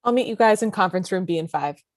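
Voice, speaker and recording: A woman's voice: on the low side, animated, fast, no echo, lightly acted. Woman playing a dry, ruthless network executive. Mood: businesslike. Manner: dry, dismissive, caustic. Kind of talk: comedy script table read.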